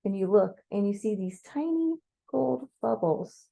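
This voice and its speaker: A woman narrating a guided meditation as a story in the second person, conversational and playful, with gentle pauses. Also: mid-range voice, expressive, creaky. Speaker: storyteller leading a guided visualization.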